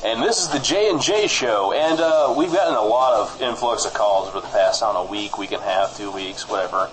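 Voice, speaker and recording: A man hosting a comedy show, trading improvised mock news banter in a deadpan manner. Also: on the low side, fairly quick, faint music behind, low-quality recording.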